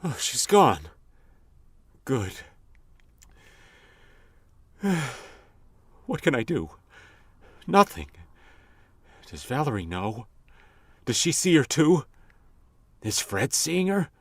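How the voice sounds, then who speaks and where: An actor plays a guilt-ridden lawyer nearing forty in a radio mystery. His mid-pitched voice is nervy and halting, jumping up and down. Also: sing-song, breathy edge, dry recording, exaggerated voice. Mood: shaken. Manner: nervous, halting. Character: nervous, guilt-haunted attorney of thirty-nine. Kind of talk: radio drama acting.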